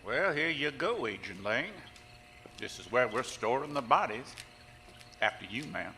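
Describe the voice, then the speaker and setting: A man's voice: mid-range voice, animated, a little slow, sharp tone. A man playing an easygoing, chatty small-town sheriff in an audio drama. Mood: wry, amiable. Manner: folksy, chatty. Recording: reverberant room with faint noise.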